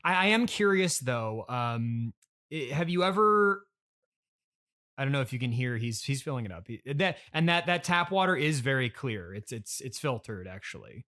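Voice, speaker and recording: A man with a medium-pitched voice hosts a comedy interview podcast. He is awkwardly polite and halting, often stammering and restarting as he works toward his question. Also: sing-song, bright, forceful tone.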